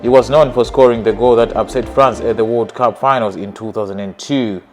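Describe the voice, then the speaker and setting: A man's voice: medium pitch, brisk, soft background music. Man reading a scripted football countdown. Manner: even, list-like reading of facts. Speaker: narrator. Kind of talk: scripted documentary narration.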